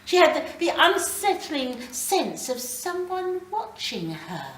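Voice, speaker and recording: A British woman reading a short story aloud in a dramatic, deliberate way. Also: high-pitched, sing-song, a bit bright. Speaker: story reader. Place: live reading in a library. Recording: roomy, distant mic, faint background noise.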